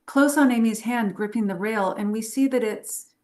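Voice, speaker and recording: A woman's voice: on the high side, normal speed, darkish tone. A woman giving scripted narration, reading stage directions aloud at a table read.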